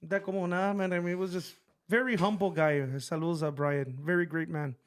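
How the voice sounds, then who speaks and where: A young man from the Rio Grande Valley with a South Texas Tejano accent, chatting as a guest and switching fast between English and Spanish. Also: high voice, steady tone, smooth. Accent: South Texas Tejano, mixing English and Spanish. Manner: quick, code-switching, friendly. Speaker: band leader guest. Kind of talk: casual interview talk.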